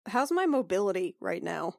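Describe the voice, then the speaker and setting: A woman's voice: mid-range voice, sing-song, normal speed, creaky, a little mellow, no echo. Dry-recorded woman chatting as a player. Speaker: player in a tabletop role-playing podcast. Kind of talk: casual game chat.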